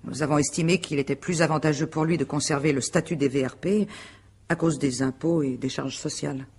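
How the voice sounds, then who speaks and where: Radio mystery: proud wife under interrogation, husky, poised, formal, dryly ironic. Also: female voice, low voice, fairly even intonation, brisk, darkish tone. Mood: matter-of-fact. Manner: articulate, formal, self-assured, dryly ironic. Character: composed, proud wife and agency director under questioning. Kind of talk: French radio mystery drama acting.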